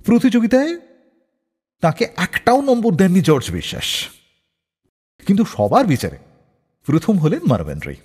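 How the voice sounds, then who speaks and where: Animated, husky male radio storyteller. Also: high-pitched, moderate pace, long pauses. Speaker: radio show host. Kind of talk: scripted storytelling narration for a music radio show. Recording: long reverb, music behind the voice. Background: background music.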